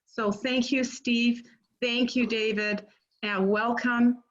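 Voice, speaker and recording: A woman's voice: a little high, level tone, slow pace, highs cut off. A woman hosting a webinar on a video call, gushing thanks in a gracious, rambling way. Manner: effusive, gracious, rambling with restarts. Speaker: webinar host. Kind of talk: welcoming introduction.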